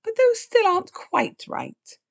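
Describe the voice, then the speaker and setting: A woman voicing a gentle, patient mummy pig in a children's storybook, speaking tactfully. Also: very high voice, wildly swooping pitch, unhurried, gravelly, voice pushed up, full character voice. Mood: kindly tactful. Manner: tactful, soft-worded, patient. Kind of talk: children's storybook read-aloud.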